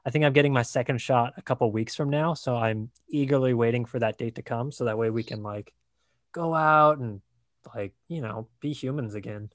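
A man's voice: mid-range voice, normal speed, no room sound, studio quality. A man hosting a podcast, chatting casually and rambling a little, with lots of fillers. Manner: relaxed, rambling, many fillers like "like" and "uh". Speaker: podcast host.

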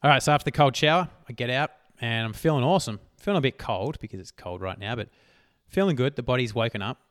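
A man hosting a solo motivational podcast, talking emphatically and swearing casually, his voice worn hoarse. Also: mid-range voice, animated, quick pace, fairly bright tone, dry recording, clean studio sound. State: losing his voice. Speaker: podcast host.

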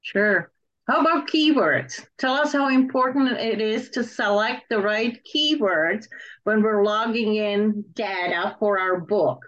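A woman's voice: medium pitch, unhurried, fairly bright tone. Female interview host, mid-range voice, conversational questioning, somewhat dull recording. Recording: dull, slightly muffled sound.

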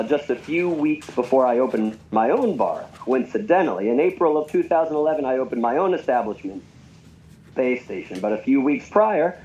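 A man being interviewed about his bar, explaining in a measured, hedging way. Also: medium pitch, a little mellow. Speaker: bar owner and guest. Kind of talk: interview answers. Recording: muffled remote line with faint noise.

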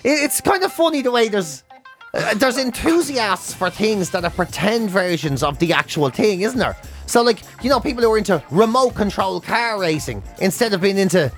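A man's voice: sits high, lively intonation, normal speed, soft background music. A middle-aged Irish man in casual, jokey podcast banter with a co-host, swearing now and then. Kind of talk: casual comic chat.

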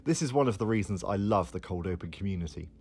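A man with a husky voice giving prepared advice as a fiction writer. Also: low voice, expressive, fast talker, a little mellow, faint music behind.

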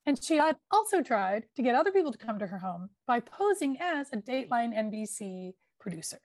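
A woman's voice: a little high, big pitch swings, fairly quick, a little mellow, no echo. A woman hosting a podcast, casually retelling a true-crime case with emphatic, filler-heavy talk. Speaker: podcast host.

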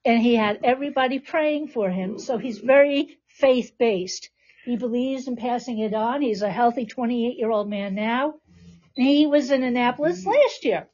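Female podcast host and teacher, reassuring, higher-pitched, captured in muffled lo-fi sound. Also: moderate pace, a little mellow. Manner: encouraging, reassuring prompts. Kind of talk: live podcast hosting, addressing a class. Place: classroom with about twenty people.

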